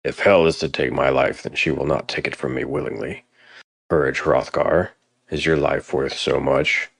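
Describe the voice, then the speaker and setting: A grave Norse war leader, acted by a man in an audio drama. He speaks extremely low with a gravelly edge. His delivery is solemn and resolute, recorded dry. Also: fairly even intonation, moderate pace, a bit bright, dry recording, exaggerated voice. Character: grave, steadfast male Norse warrior leader.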